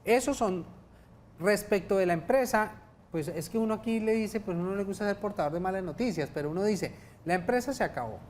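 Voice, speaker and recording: A man's voice: sits high, lively intonation, fairly quick, darkish tone. Colombian lawyer guy on a tv studio show, patient explainer, faint room hum behind. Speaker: lawyer guest. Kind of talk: explaining legal cases on a TV call-in show.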